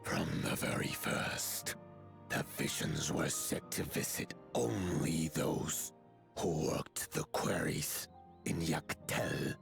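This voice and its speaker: An extremely low, heavily creaking voice of a solemn giant elder, delivered very slowly in stately, archaic phrasing. It is fantasy game dialogue with music underneath. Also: male voice, exaggerated voice. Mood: grave. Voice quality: deep, gravelly, breathy at phrase ends. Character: solemn giant elder of an ancient mountain people.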